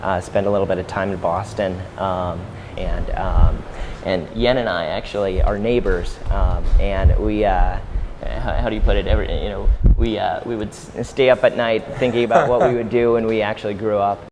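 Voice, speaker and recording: A man's voice: on the low side, steady tone, moderate pace, hardly any pauses. A man in his thirties from St. Louis, a startup incubator co-founder who answers interview questions hesitantly, with many fillers. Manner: hesitant, full of fillers and restarts. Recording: faint background noise.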